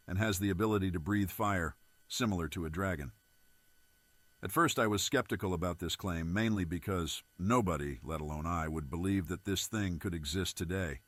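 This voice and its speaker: Deep-voiced, earnest man reporting , as acted out. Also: a bit bright, no echo. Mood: grave, confiding. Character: unsettled male news reporter revealing a leaked monster report. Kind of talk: scripted spoken report in an amateur disaster drama.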